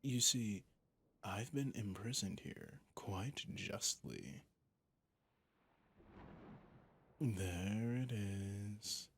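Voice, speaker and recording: Man playing a slow, gravelly, sadistic vampire. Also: fairly low pitch, lively intonation, a bit bright, a little hiss or hum, exaggerated voice. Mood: sinister, gloating. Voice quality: breathy, gravelly. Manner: slow, theatrical, condescending, often dropping to a whisper. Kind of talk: ASMR roleplay audio drama.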